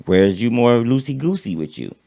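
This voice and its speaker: A man co-hosting a casual political chat show, talking with a raspy voice and vocal fry, rambling and repeating words as he swears freely. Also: mid-range voice, very animated, fairly quick, warm tone. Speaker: podcast co-host.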